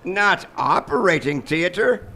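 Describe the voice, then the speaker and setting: A man playing a suave, ingratiating doctor and hotel owner in a live radio comedy, smooth-talking and emphatic. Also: high voice, animated, unhurried, bright, forceful tone, exaggerated voice. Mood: brisk, evasive. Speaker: actor.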